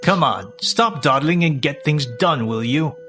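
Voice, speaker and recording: A selfish, lazy young husband voiced by a man in a manga dub, with very lively, swooping intonation over faint music. He sounds smug and dismissive, sliding into whiny self-justification. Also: fairly high pitch, unhurried. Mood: bossy, dismissive.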